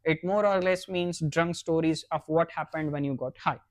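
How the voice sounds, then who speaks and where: Higher-pitched male podcast host. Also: normal speed, no echo. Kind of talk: casual interview chat with a scene-setting introduction.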